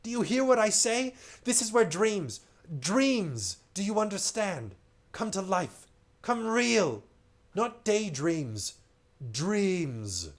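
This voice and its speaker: A frantic castaway, half mad with fear, shouts in a strained, high voice, as a man reads him out. He rants and gasps, pitched well above an everyday speaking voice, loud and wild. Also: lively intonation, slow pace, hardly any pauses, full character voice. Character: terrified, raving shipwrecked man. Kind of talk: storybook reading.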